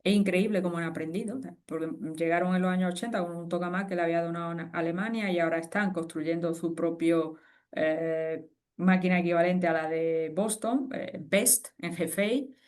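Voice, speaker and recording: A woman, a guest expert speaking in a conversational webinar interview, animated and explanatory with frequent fillers and restarts. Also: fairly low pitch, normal speed. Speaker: guest expert on fusion energy.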